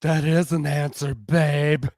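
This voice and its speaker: A woman voicing a smooth, playful, teasing woman in a steamy romance drama. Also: low voice, fairly even intonation, takes it slowly, a little mellow, dry recording, studio quality. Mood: playful, seductive.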